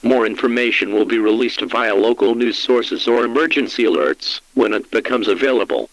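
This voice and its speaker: A man's voice: mid-range voice, moderate pace, creaky, a bit bright, no room sound, low-quality recording. A man voicing an impersonal, solemn emergency alert announcer, reading scripted official notices in a formal, instructional way. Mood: impersonal, urgent.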